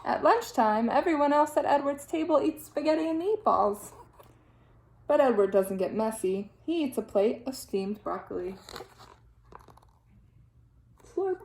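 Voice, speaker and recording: Echoey, high-voiced woman reading a storybook. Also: slight background noise. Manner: animated, deliberate phrasing with dramatic sound-effect words. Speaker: teacher reading to children. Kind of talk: expressive children's storybook read-aloud. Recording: echoey room sound.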